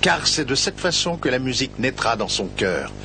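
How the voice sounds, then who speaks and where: A man voicing a wise, gentle older music teacher who speaks in a calm, instructive way. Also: mid-range voice, moderate pace. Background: background music score.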